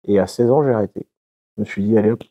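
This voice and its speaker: A young adult man from France, a guest talking casually in an interview, halting and full of fillers. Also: fairly low pitch, warm tone, muffled recording. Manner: halting, many fillers and restarts.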